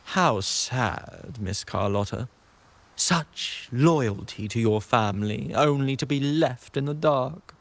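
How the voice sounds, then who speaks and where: A very slow, deliberate male voice for a video game villain, a sanctimonious acolyte. He is pompous and formal, with menace in every measured phrase. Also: medium pitch. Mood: smug taunting. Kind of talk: scripted video game voice acting.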